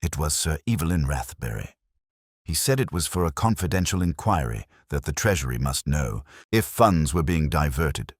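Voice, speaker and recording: A man's voice: a bass voice, animated, normal speed, slightly rough, no echo, studio quality, full character voice. A man voicing a frightened, earnest young clerk confessing nervously in an audiobook. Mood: anxious, earnest. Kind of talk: dramatic audiobook character reading.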